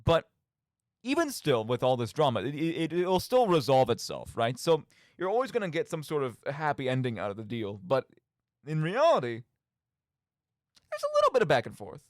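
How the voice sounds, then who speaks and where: A man with a slightly high voice hosts a casual solo radio talk on games. His intonation is lively and jumps around a lot. He speaks emphatically, cutting himself off and rambling onward, in a dry recording with little room sound. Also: normal speed, dry recording. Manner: rambling, self-interrupting, emphatic. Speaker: radio show host.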